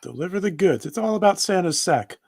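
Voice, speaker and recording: A man's voice: high voice, lively intonation, a little fast, creaky, darkish tone, husky, dry recording. A man giving dry, sardonic remarks in casual panel banter. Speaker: convention panelist. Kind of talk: casual joking panel chat.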